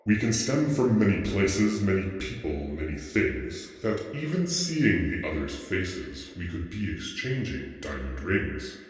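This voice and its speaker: A man's voice: very animated, a little slow, few pauses. A man playing a solemn male sphinx reciting an ancient riddle in grand, formal, theatrical style, with heavy reverb on the voice. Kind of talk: theatrical recitation in ASMR roleplay. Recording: booming long cavernous reverb.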